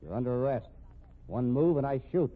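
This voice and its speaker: An actor in a 1950s radio mystery playing a wry, weary police captain with a dry, firm, slangy way of talking. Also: male voice, mid-range voice, animated, brisk, soft background music, natural voice. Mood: accusing. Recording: tinny band-limited old radio recording.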